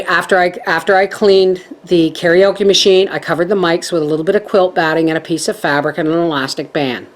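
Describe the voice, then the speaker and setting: Woman, middle-aged, casual vlog chat, animated storyteller, somewhat low voice. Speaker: homestead vlogger.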